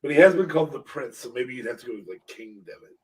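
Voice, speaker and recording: A man hosting a podcast, chatting casually in a halting, playful way. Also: medium pitch, expressive, darkish tone. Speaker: podcast host. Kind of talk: casual wrestling fantasy booking chat. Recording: voice distant from the mic.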